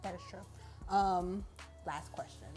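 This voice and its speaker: A young woman from Brooklyn, New York, co-hosting a casual Q&A, asking questions and answering in a lively, playful way. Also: lowish voice, a little slow, faint music behind. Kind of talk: casual Q&A chat.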